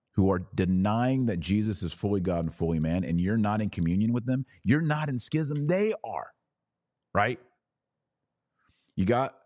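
A man's voice: on the low side, darkish tone, dry recording, studio quality. An American man hosting a podcast, giving casual religious commentary and answering listeners in an emphatic, teacherly way. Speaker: podcast host.

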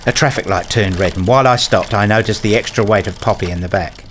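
A man reading scripted first-person narration for a radio play, telling it in a measured, literary way. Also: on the low side, fairly bright tone, slight background noise.

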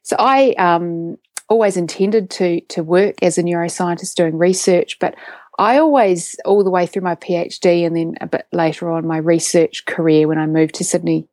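New Zealand woman, low-voiced and eager. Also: steady tone, moderate pace, a little mellow, dry recording, clean studio sound. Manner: enthusiastic, with frequent restarts and ums. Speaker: guest, neuroscientist and science communicator. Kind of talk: conversational interview.

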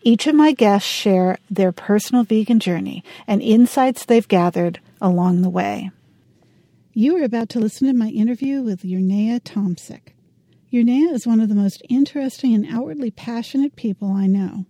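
A woman hosting a podcast, reading a scripted welcome and asking gentle, soft-spoken questions. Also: on the high side, normal speed, no echo, studio quality. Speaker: podcast host. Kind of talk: scripted intro and interview questions.

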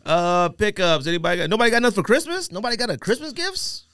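A man's voice: sits high, very animated, quick pace, fairly bright tone, clear, resonant tone. A man with an American accent hosting a podcast, bantering casually with friends in slangy, joking talk. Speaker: podcast host.

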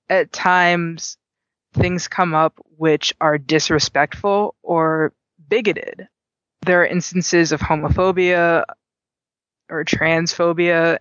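Male interview guest, earnest, gravelly and fairly high-pitched. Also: fairly bright tone, dry recording, highs cut off. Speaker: guest, gaming community organizer. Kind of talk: interview conversation.

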